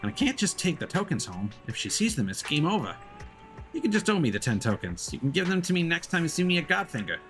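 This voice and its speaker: Man doing a dramatic high-pitched hungry schoolkid. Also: big pitch swings, fast, fairly bright tone, soft background music. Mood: eager, confiding.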